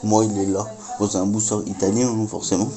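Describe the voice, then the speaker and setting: A man's voice: lowish voice, little pitch movement, brisk, warm tone. A man chatting casually to his viewers as a vlogger, speaking informally. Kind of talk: casual vlog chat to viewers.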